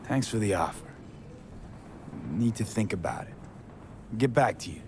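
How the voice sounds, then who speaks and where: A man's voice: on the low side, lively intonation, measured pace. An actor playing a blunt, sardonic male mercenary who talks in short, clipped sentences. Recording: game audio with clear background noise.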